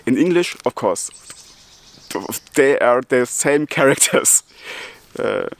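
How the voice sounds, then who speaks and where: Slow-talking German man who stutters. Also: mid-range voice, expressive, creaky. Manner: stutters with repeated sounds and restarts; chuckles between phrases. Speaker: YouTuber. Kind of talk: casual vlog talk.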